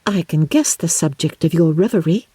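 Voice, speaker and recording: A woman's voice: sits low, moderate pace, warm tone, breathy edge, dry recording. A woman voicing a snobbish society lady with an affected, gushing, archly mocking manner in an audiobook. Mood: disdainful.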